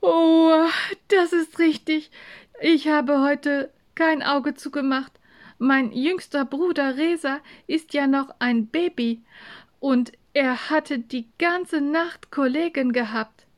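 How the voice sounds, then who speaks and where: A woman plays a sleepy schoolgirl in a children's story. Her voice sits high and she sighs wearily through her lines, polite and sweet-natured even when worn out. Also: slow pace. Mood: tired, explaining.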